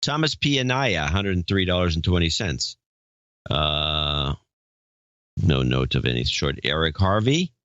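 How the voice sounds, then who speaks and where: A man co-hosting a podcast, talking casually and reading out donor names in a gruff, offhand manner. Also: low voice, expressive, unhurried, a bit bright, no room sound, dull audio. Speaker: podcast co-host.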